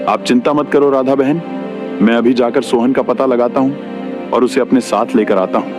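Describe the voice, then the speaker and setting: Guy doing a greedy sneaky village man in a cartoon story, medium pitch, music under it. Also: steady tone, moderate pace, lightly acted. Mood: falsely reassuring. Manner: sly, falsely reassuring. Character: greedy, scheming adult village labourer, deceitful. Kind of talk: Hindi moral story cartoon.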